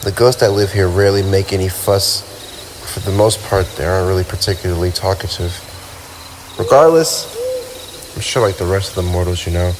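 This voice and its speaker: A man's voice: sits low, noisy background. A man playing a lonely, centuries-old vampire with wounded pride, speaking in wistful, formal, theatrical phrases in an ASMR roleplay. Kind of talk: ASMR roleplay monologue addressed to the listener. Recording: night ambience with crickets and owls.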